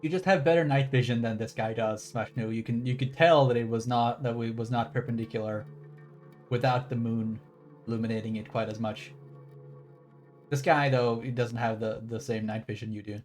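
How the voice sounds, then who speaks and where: A man's voice: medium pitch, steady tone, normal speed, darkish tone, soft background music. A man giving casual, rambling game commentary as a livestreamer, with a playful, wry manner.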